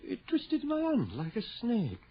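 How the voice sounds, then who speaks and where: A man's voice: very high voice, wildly swooping pitch, measured pace, a little hiss or hum, heavily acted. An actor playing a middle-aged, superstitious working-class father in an old-fashioned radio drama, hesitant and muttering, then exclaiming. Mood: startled. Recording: dull lo-fi radio drama recording.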